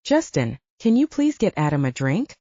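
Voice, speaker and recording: A commanding male werewolf boss, voiced by a woman narrator, admonishing and protective. The audiobook voice is mellow and lowish, with plenty of movement in its authoritative delivery. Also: sing-song, moderate pace, dry recording, dull audio, exaggerated voice. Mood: calm request.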